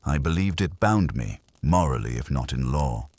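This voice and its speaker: A man's voice: very deep voice, expressive, moderate pace, a little mellow, breathy edge, no room sound, heavily acted. A man voicing a careful, guilt-burdened doctor in a mystery audiobook, formal and resigned in manner. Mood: reluctant confession.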